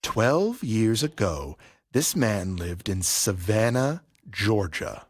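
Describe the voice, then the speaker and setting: Menacing, mock-polite barber, swooping pitch. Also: male voice, very animated, breathy edge, dry recording, clean studio sound, exaggerated voice. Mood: grim, knowing. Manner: servile politeness laced with menace, deliberate. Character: vengeful graying male barber, mock-polite, coolly menacing. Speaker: actor. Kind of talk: audio play acting.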